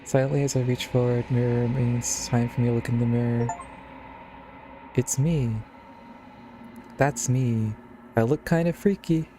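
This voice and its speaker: A man's voice: mid-range voice, steady tone, measured pace, long pauses, noisy background. A man giving casual, wry playthrough commentary as a game streamer, with understated reactions.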